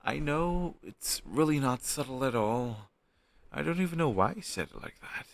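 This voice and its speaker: A man's voice: medium pitch, animated, creaky, no room sound. A man playing a flustered young man shyly hiding a crush on his best friend, speaking hesitantly and sheepishly in an intimate audio roleplay. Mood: flustered, confessional. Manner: hesitant, sheepish, halting conversational delivery. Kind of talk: intimate one-sided conversational audio roleplay.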